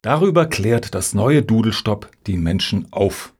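An actor playing an earnest, self-justifying middle-aged music lobbyist in a radio satire, speaking in formal jargon. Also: male voice, on the low side, animated, unhurried, a little mellow, slightly rough. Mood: earnest, defensive. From Hamburg, Germany. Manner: formal, jargon-heavy, pompous.

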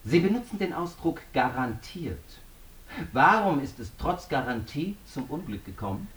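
An actor playing a stern, insistent courtroom examiner in a German radio drama, formal and probing. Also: male voice, on the high side, big pitch swings, moderate pace, fairly bright tone, roomy sound. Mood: stern, accusing.

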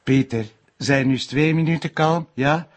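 Actor as calm, husky Flemish friend. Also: male voice, fairly high pitch, moderate pace, close to an everyday voice. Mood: reassuring. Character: calm, reassuring male friend, easygoing and patient. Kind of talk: television crime drama acting.

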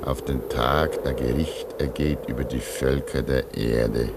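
An actor playing a pious, weary old man at a polar station in a German radio play, speaking solemnly and deliberately. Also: extremely low pitch, fairly even intonation, very noisy. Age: older.

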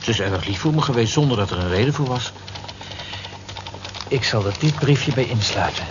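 An actor playing a shy, earnest office worker with a hesitant manner in a radio drama. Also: male voice, mid-range voice, animated, normal speed, close to an everyday voice. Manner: hesitant, bashful. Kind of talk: Dutch radio drama acting. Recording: muffled, noisy old radio recording.

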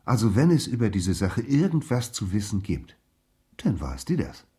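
An actor playing an eager, chummy junior police detective who rambles colloquially in a German radio crime comedy. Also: male voice, on the low side, very animated, normal speed, warm tone, husky, soft background music, full character voice. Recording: faint outdoor city traffic noise.

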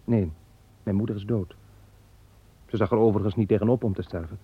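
Seth, a young farmer, earnest and frank in an old radio play. His voice is husky and close-miked with no room sound. The recording is narrow and tinny, like an old broadcast. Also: lowish voice, fairly even intonation, fairly quick, no echo. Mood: wry. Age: young adult. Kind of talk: 1960s Dutch radio drama.

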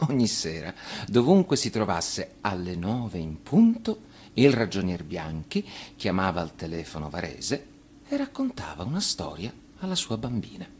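A man reading a children's fairy tale for radio as an actor, in expressive, theatrical phrases with pauses. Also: fairly low pitch.

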